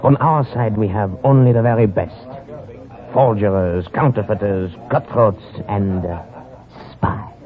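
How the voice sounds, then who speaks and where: An actor playing a suave, wry wartime spy in a 1950s radio drama, speaking in a sly, measured way. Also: male voice, fairly low pitch, close to an everyday voice. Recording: tinny old radio broadcast with music.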